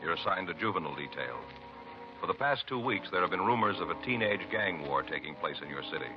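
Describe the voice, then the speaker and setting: Old time american radio announcer, real low and grave, dramatic script read over big loud music. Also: male voice, animated. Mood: solemn, dramatic. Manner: solemn, dramatic, measured phrasing. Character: solemn male radio announcer setting the scene. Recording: muffled vintage radio, loud music behind.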